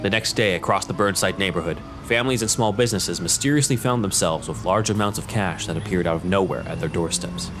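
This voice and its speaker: A man's voice: low-pitched, fast talker, hardly any pauses. A man reading scripted narration as the narrator of an audio drama. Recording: music behind voice.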